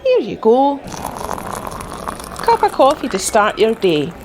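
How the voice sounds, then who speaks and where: An actress playing a teasing, sharp-tongued female diner waitress in a radio drama. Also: on the high side, huge pitch swings, slow, background noise, full character voice. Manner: teasing, cutting.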